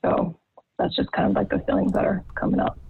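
A woman's voice: medium pitch, little pitch movement, gravelly, breathy edge, no echo. A young woman from Haiti speaking as a podcast guest, candid and heartfelt, often restarting her sentences. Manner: heartfelt, halting with many restarts. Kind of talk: candid personal testimony. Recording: tinny phone-like line.

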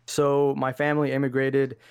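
A man's voice: medium pitch, completely flat delivery, moderate pace, darkish tone, clear, resonant tone, no room sound. A young man from Iraq, a podcast guest, talking video games in a laid-back, slangy way, often saying "man" and "dude". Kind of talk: casual interview chat.

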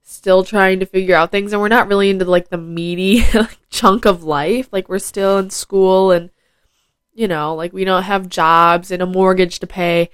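A woman's voice: medium pitch, fairly even intonation, moderate pace, smooth, dry recording. A fifteen-year-old girl hosting her own podcast, chatting casually with lots of fillers, her voice nasal and stuffed up from a head cold. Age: teens. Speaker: podcast host. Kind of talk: casual solo podcast chat. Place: at home. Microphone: plugged into phone.

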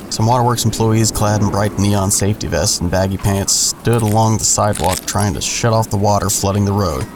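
A man's voice: fairly low pitch, background noise, close to an everyday voice. An actor playing a man who narrates his own troubled story in a first-person audio drama. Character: adult man recounting his troubled past. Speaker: narrator and main character.

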